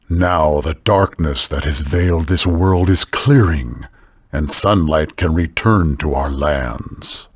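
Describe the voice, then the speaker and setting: A man's voice: low voice, no echo, studio quality, heavily acted. A man voicing a solemn, stern underworld elder in a video game, speaking in a grave, formal, commanding manner.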